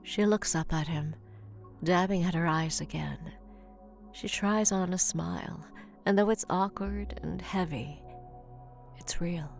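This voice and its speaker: A woman's voice: fairly low pitch, unhurried, vocal fry, pauses often, soft background music. A woman delivering dramatic scripted narration for an audio drama.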